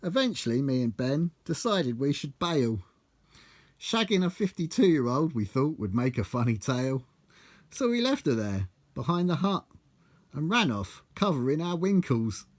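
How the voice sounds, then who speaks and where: British man with very lively pitch. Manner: bawdy storytelling with measured rhyming cadence. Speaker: author reading own book. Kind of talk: comic rhyming verse narration.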